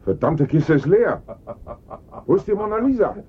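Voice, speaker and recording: An actor playing a suspicious, impatient gentleman conspirator, urgent and commanding as he questions people. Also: sing-song, normal speed. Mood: angry. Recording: dull vintage radio recording, faint rumble.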